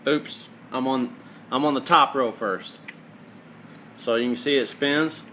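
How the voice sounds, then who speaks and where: A man's voice: medium pitch, measured pace, pushed and bright. A man giving an informal, hands-on mechanical tutorial, explaining step by step in a plainspoken way. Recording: roomy echo, clear background noise.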